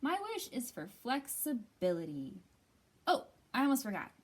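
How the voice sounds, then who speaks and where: A woman's voice: on the high side, very animated, normal speed, slightly rough. A woman reading as a jaunty, playful, yoga-loving lobster with a nautical streak in a picture book.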